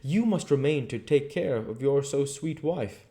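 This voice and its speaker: A man voicing an elderly professor in an audiobook, commanding and earnest, speaking deliberate, formal, oddly worded English. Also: mid-range voice, lively intonation, mellow, voice pushed up. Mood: firm. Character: elderly male professor leading a vampire hunt, commanding, earnest. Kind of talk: dramatic audiobook character reading.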